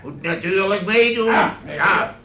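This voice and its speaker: An actor playing a gruff, bossy medieval vagabond chief in a radio drama, with an echoey room and music behind. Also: male voice, high voice, animated, slow pace, lo-fi sound, crowd noise, full character voice. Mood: commanding. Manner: gruff, commanding. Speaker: gang leader.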